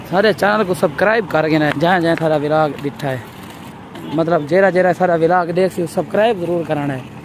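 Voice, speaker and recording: A man's voice: fairly high pitch, fairly quick. A man with a Pakistani Punjabi accent giving casual, exclaiming commentary as he films a walking tour, with repeated catchphrases. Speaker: vlogger. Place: outdoors on a city roadside while walking. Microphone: handheld phone camera. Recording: very noisy outdoor roadside. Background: traffic and street noise.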